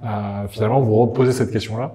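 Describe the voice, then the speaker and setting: Middle-aged male moderator, low warm voice, wry and reflective. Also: fairly even intonation, quick pace. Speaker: meetup host, moderator. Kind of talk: moderating panel discussion.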